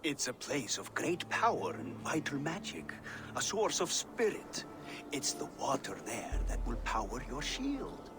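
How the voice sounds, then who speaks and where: A man's voice: mid-range voice, huge pitch swings, unhurried, over music. A man playing a courteous, cunning wandering master builder in a video game, speaking formally and eloquently with a deferential air. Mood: solemn. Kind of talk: video game cutscene acting.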